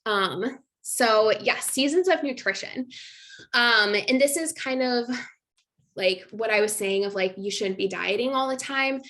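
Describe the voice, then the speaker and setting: A woman's voice: on the high side, unhurried, a bit bright. A young adult woman, a nutrition coach, giving a casual online masterclass in a chatty manner full of fillers and little laughs. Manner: chatty, filler-heavy, laughs between points.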